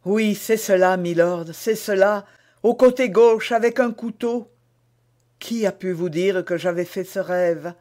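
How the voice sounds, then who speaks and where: A man's voice: steady tone, slow pace, darkish tone. A man reading aloud and voicing an anguished, dignified young queen who pleads in raised cries. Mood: fearful. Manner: pleading, rising to high emotional cries.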